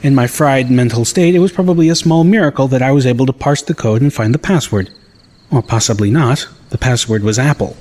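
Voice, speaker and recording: A man's voice: medium pitch, a little fast, a little mellow. A man reading first-person scripted story narration as an audiobook narrator.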